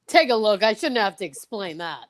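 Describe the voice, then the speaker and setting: Street cop actress, curt and suspicious, mid-pitched but very animated, plain-spoken lines for old-time radio detective play. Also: female voice, normal speed, natural voice. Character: brusque, suspicious street police officer at a crash.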